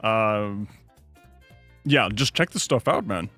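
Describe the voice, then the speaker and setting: A man's voice: on the low side, expressive, slow, pushed and bright, faint music behind. A young adult man streaming from home, rambling casually through chat questions and answering his viewers. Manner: rambling, chatty, reads chat aloud and answers.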